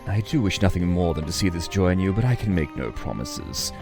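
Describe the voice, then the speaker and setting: An actor playing a grave immortal guardian bound to duty in an audio drama, speaking formally and solemnly. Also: male voice, low-pitched, lively intonation, fairly quick, music in the background.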